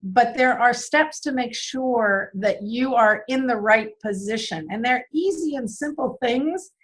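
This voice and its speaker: A woman guest who is losing her voice, answering interview questions earnestly with frequent "um" pauses. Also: a little high, rough recording. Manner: earnest, many "um" fillers and repeated words. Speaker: guest speaker on business systems.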